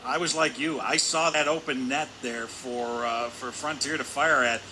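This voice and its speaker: A man's voice: mid-range voice, steady tone, normal speed. A man giving conversational color commentary at a live hockey game, wordy and repeating himself for emphasis. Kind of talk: live sports analysis, conversational. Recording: constant loud arena background noise. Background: hockey arena ambience.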